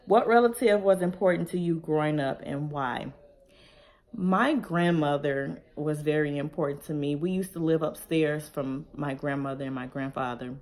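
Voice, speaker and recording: A woman, casually reading tag questions aloud and answering them in a relaxed, conversational way at home. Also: sits low, normal speed. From Chicago, Illinois. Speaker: vlogger.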